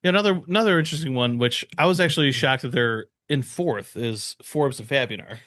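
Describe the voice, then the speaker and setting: A man's voice: medium pitch, a little fast, a bit bright, no echo. Man, podcast co-host, casual sports chat, slangy, agreeable, mid-range voice, dry recording.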